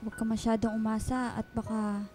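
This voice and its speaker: Actress as concerned caretaker in radio drama, highish flat voice, gentle warning, music behind. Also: female voice, brisk, natural voice. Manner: cautioning, concerned. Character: concerned woman caretaker gently cautioning a child.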